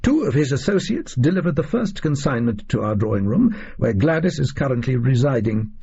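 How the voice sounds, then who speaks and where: A man's voice: medium pitch, very animated, brisk, vocal fry, dark tone, breathy edge, highs cut off, heavily acted. A man voicing a pompous, eccentric explorer-scientist reading out his diary in clipped, self-important phrases, for an audio story. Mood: self-satisfied.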